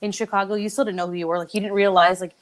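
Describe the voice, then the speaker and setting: A woman's voice: mid-range voice, level tone, racing. A woman hosting a podcast, interviewing and chatting in a casual, conversational style. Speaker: podcast host.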